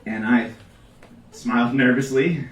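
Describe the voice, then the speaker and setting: A man reading a comic story aloud at a live event, with theatrical emphasis and dramatic pauses. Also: medium pitch, steady tone. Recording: echoey live room, distant mic, background noise.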